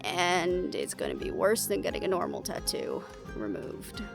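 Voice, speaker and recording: A woman performing a cautious, protective hunter in a fantasy audio drama. She is blunt and skeptical, with a creaky, lively voice that swings in pitch over clearly audible music. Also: moderate pace, exaggerated voice. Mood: blunt, practical. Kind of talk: scripted audio roleplay drama. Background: background music.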